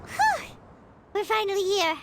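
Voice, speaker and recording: Perky and shrill, this tiny female sprite chirps along with constant, bubbly excitement. Her pitch sits extremely high and leaps about with lively swings. She speaks of herself by name like a small game mascot. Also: measured pace, creaky, noisy background, full character voice. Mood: encouraging.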